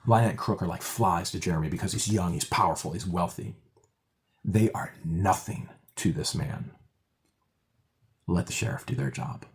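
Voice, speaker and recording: Deep-voiced man in his forties, emphatic. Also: normal speed, vocal fry. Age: 40s. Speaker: streamer recounting a court hearing. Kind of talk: casual livestream talk.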